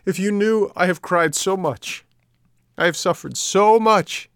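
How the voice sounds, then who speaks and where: A man's voice: a little high, very animated, moderate pace, dry recording, studio quality, voice pushed up. A man voicing an eager wooden puppet boy who pleads in breathless, tearful bursts.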